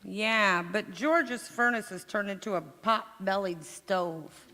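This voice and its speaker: A woman's voice: medium pitch, slow pace, sharp tone, a little hiss or hum. A woman playing a feisty, sarcastic housewife in 1940s radio comedy style, with dry, wisecracking retorts. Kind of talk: 1940s radio comedy acting, staged revival. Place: community theatre stage in a church. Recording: echoey hall, long reverb.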